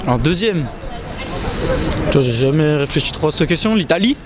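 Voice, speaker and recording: A man's voice: fairly high pitch, expressive, normal speed, over a noisy crowd. A man answering a street interview in a blunt, slangy way. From France. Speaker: passer-by. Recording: loud street noise.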